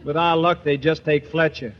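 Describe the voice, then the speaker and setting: A man's voice: fairly high pitch, fairly even intonation, normal speed, close to an everyday voice. A man playing the easygoing, wisecracking lead of a 1940s radio comedy. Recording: noisy, dull old radio recording.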